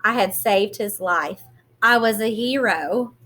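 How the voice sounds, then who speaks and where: A woman reading a children's picture book aloud in an expressive, teacherly way, pausing to put questions to young listeners. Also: medium pitch. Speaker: read-aloud storyteller.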